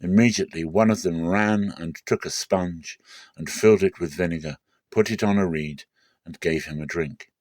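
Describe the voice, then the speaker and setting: A man narrating a Bible passage as a measured, deliberate dramatic scripted reading. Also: low-pitched, darkish tone, no echo, studio quality.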